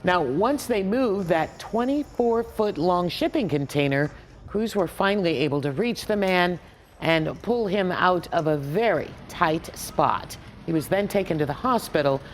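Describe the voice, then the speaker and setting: An American woman with a lowish voice anchors the news. She delivers scripted stories in a broadcast style. A faint noise sits behind her. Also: animated, measured pace.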